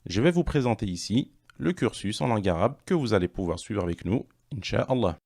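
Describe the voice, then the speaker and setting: A man presenting an online language course, reading questions and answers in a measured, explanatory way. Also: fairly low pitch, animated, vocal fry, darkish tone.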